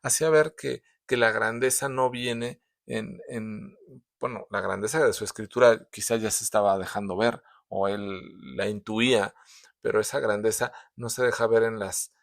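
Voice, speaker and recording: A man's voice: mid-range voice, vocal fry, no room sound, clean studio sound. A man with a sore throat, hosting a casual book review, talking with many fillers and restarts. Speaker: book reviewer, show host.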